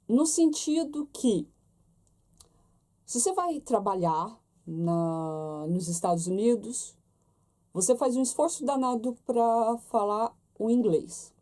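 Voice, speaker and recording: Chatty Brazilian woman with a mellow voice. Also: mid-range voice. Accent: Brazilian Portuguese. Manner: chatty, talks straight to viewers with many tag questions. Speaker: vlogger. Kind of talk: casual chat to camera.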